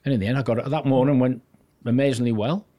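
Older north-east england bloke on a podcast, rambling storyteller, keeps restarting sentences. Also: male voice, mid-range voice, steady tone, fast talker, dark tone, dry recording. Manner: chatty, rambling, restarts sentences, acts out quotes. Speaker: podcast guest, former goalkeeping coach. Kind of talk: casual anecdotal storytelling.

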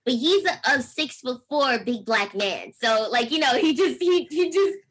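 A young woman with a squeaky speaking voice, a singer chatting over a video call and telling stories with lively acted-out quotes. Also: normal speed, slightly rough. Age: young adult. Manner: animated storytelling, acts out quotes with big pitch jumps. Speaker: singer guest. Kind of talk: casual interview chat.

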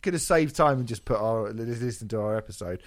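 Slightly hoarse, sweary male podcast host. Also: lowish voice, fairly quick, dry recording. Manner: sweary, self-deprecating, jokey. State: slightly hoarse, sore throat from singing. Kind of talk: casual music podcast chat.

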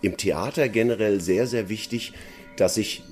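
Low, mellow man, earnest interviewee. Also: moderate pace, faint music behind. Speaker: stage actor. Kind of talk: conversational interview answers.

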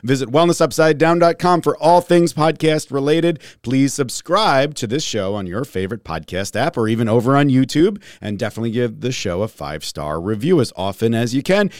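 A man hosting a wellness podcast, upbeat and joking, with his voice raspy from a cold. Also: mid-range voice, lively intonation, moderate pace, few pauses, no echo, clean studio sound. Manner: upbeat, joking, prone to rants. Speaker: podcast host, pharmacist. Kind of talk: casual podcast chat. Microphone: studio mic.

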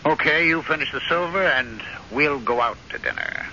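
Tense, exasperated American husband. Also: male voice, fairly high pitch, normal speed, bright, forceful tone. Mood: weary, puzzled. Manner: emphatic, sardonic, exasperated. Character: frustrated, restless husband, sarcastic and easily riled. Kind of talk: old-time radio drama acting. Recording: muffled, noisy old radio recording.

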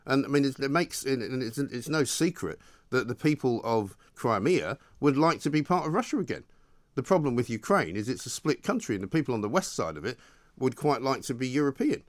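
A man's voice: medium pitch, lively intonation, fairly quick. A British man hosting a combative political interview, argumentative and opinionated as he challenges his guest. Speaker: radio talk show host interviewing a politician.

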